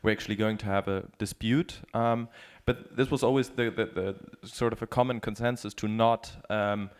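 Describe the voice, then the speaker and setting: A man's voice: fairly low pitch, steady tone, moderate pace, clear, resonant tone. A man working as a journalist, asking a panel a long question from the audience with many hesitations. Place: conference room.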